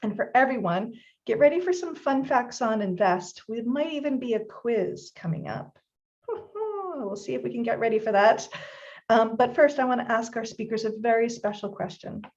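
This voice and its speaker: A woman with a somewhat high voice hosts a live online event. She greets viewers brightly and speaks right to them. The sound is muffled, like stream audio. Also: normal speed. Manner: upbeat, addresses audience directly. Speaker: host. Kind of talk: live-streamed webinar hosting.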